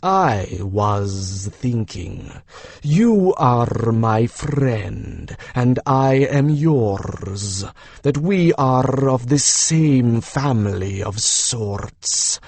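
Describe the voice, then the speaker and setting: Man voicing a very slow, earnest griffin. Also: medium pitch, animated, creaky, darkish tone, no room sound, heavily acted. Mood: earnest, solemn. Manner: slow, halting, formal speech. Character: sheepish, earnest young male griffin, formal and proud. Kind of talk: audiobook character voice.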